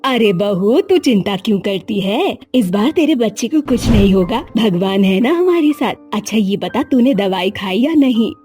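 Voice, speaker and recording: A woman's voice: medium pitch, expressive, normal speed, hardly any pauses, dark tone, faint music behind, natural voice. A woman voicing a scheming older mother-in-law who scolds and commands her daughter-in-law. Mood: scolding.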